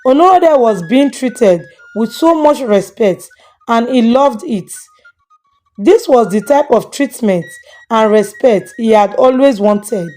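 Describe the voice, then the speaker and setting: A woman narrates a scripted moral folktale. Her voice sits fairly high, with music playing quietly behind her. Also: expressive, takes it slowly. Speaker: storyteller.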